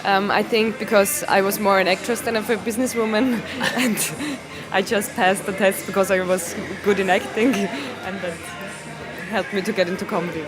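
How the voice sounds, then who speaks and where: A woman's voice: mid-range voice, music in the background. Austrian woman comedian, laughing often. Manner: laughs often, halting non-native phrasing. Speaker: stand-up comedian, interview guest. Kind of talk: casual interview answers. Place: music venue.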